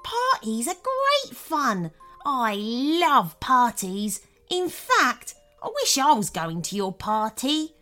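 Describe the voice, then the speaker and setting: An eager little hunchback servant voiced by a woman from a children's storybook. The voice is high and bright, swinging up and down with servile, cheerful enthusiasm. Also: big pitch swings, slow pace, soft background music, exaggerated voice. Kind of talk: storybook character voice.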